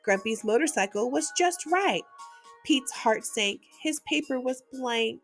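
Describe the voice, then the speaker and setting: A gentle woman who reads a children's bedtime story slowly and tenderly. Her voice is high and very lively, rising and falling as she talks to little ones, with soft music playing underneath. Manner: gentle, warm and expressive, like reading to small children. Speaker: host reading children's books. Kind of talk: bedtime read-aloud storytelling.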